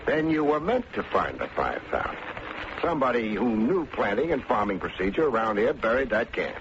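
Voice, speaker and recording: An actor playing a wry, wisecracking American private detective and narrator in an old-time radio drama. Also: male voice, mid-range voice, sing-song, normal speed, lightly acted. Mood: matter-of-fact, pressing. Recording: muffled, noisy old radio recording.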